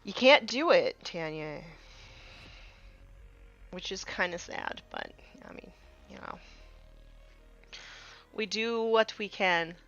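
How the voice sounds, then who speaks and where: A woman's voice: mid-range voice, lively intonation, takes it slowly, pauses often, fairly bright tone, highs cut off. A woman in her thirties chatting casually while painting miniatures on a live stream, with many fillers, sometimes trailing off to a murmur.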